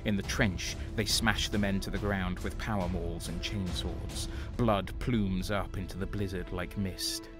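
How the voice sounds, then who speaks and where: A man's voice: low-pitched, steady tone, unhurried, few pauses, over music. A man playing a hard-bitten, ruthless renegade soldier, narrating his war story intensely in the first person. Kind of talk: first-person dramatic narration, audio drama.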